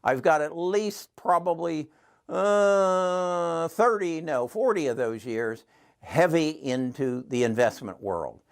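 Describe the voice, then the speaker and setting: A man's voice: a little high, animated, slow, gravelly, smooth. A man in his seventies hosting his own channel, talking earnestly and rambling with frequent restarts. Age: 70s. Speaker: channel host. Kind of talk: casual talk to camera.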